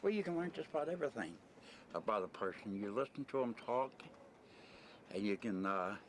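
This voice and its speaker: Older American male interviewee, low voice, blunt and rambling, on slightly noisy old videotape sound. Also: expressive, fairly quick. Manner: rambling, blunt, plain-spoken, mildly profane. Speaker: interviewee, retired merchant seaman. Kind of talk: casual spoken interview. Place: on location at a desert camp, ambient noise.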